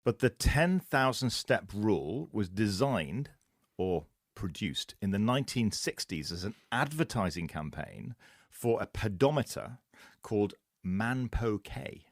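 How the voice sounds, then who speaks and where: Very low-voiced man explaining fitness. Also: very animated, a little slow, no echo, studio quality. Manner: explanatory, laughs at own jokes. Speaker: podcast co-host. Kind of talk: casual podcast chat.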